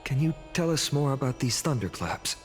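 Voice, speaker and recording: A man's voice: medium pitch, animated, moderate pace, soft background music, lightly acted. Game role: earnest young hero played by a man, mid-range voice, polite, mild and sincere, over a score. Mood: courteous. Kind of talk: video game voice acting.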